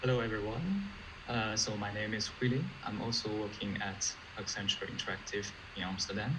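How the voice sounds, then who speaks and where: A man's voice: on the low side, moderate pace, background noise. A man introducing himself as a UX designer at an online meetup, polite and a bit hesitant with "uh" fillers.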